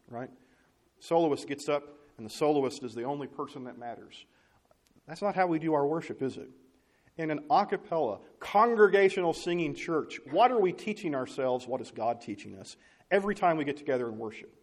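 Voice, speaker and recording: A man preaching a conversational sermon to his congregation, often asking them rhetorical questions. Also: mid-range voice.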